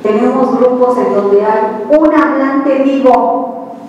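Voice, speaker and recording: A middle-aged Mexican woman lectures at a slow, measured pace and pauses between short phrases. She puts rhetorical questions to her audience. Her voice carries through a large, echoey hall and is picked up from far away by the camera, with faint room noise behind it. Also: high-pitched, a little mellow, muffled recording. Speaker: researcher giving a lecture. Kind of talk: live conference talk. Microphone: handheld mic, heard from far off.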